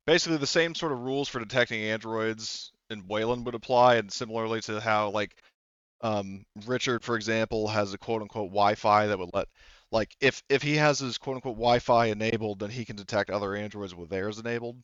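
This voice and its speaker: A man's voice: on the low side, fairly quick, fairly bright tone, smooth, dry recording, muffled recording. A man acting as game master, explaining tabletop game details in a hesitant, rambling way. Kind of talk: improvised tabletop game narration.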